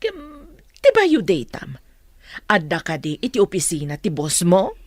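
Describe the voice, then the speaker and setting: A woman's voice: on the low side, wildly swooping pitch, measured pace, darkish tone, no room sound, full character voice. An actress playing a nosy, uneasy mother in an Ilocano radio drama, with an Ilocano accent. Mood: probing, uneasy.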